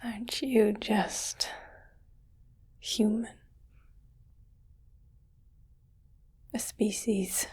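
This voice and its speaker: A woman's voice: medium pitch, darkish tone, full character voice. A woman voicing a smug, wealthy alien collector of human pets in a condescending, whispered ASMR roleplay. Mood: condescending, curious. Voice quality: breathy whisper. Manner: slow, condescending, coaxing.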